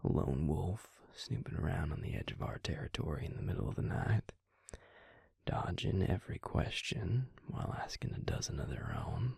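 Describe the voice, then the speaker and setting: A very husky, low-voiced vampire with a Southern drawl, acted by a man, murmurs close and dry in an intimate audio roleplay. He is gentle and protective, with a teasing charm, and takes his time over every phrase. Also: very animated, no echo, full character voice. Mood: wry, curious. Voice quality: breathy, gravelly. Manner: unhurried, soothing, half-whispered. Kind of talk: intimate scripted audio roleplay, addressing the listener.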